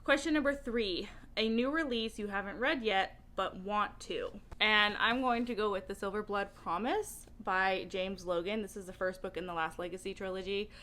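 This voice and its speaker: A woman talking casually to camera as a book vlogger, with an animated, emphatic way of speaking. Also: medium pitch, normal speed, hardly any pauses.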